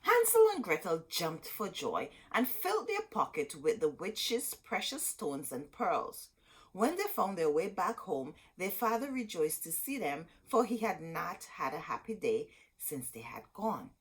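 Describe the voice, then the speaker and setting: A woman reading a children's story aloud as host, expressive and dramatic, drawing out words for emphasis. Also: fairly low pitch, unhurried, slightly rough.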